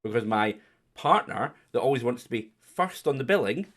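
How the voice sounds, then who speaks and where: Teasing man hosting a quiz. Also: normal speed. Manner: teasing, chatty, lively jumps in pitch. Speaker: quiz host. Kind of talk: casual livestream chat.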